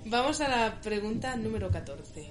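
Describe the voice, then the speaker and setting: A woman's voice: fairly high pitch, lively intonation, normal speed, over music. A woman hosting a playful mock quiz show, reading out questions and teasing her contestant. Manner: teasing, laughs often. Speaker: quiz-show style host.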